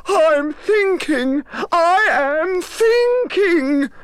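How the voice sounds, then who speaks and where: A man's voice: very high voice, animated, drawn-out words, fairly bright tone, no room sound, studio quality, voice pushed up, heavily acted. A man voicing a cheerful, simple-minded male creature in a storybook reading, hesitant and stammering with fillers. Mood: eager. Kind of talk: storybook character voice.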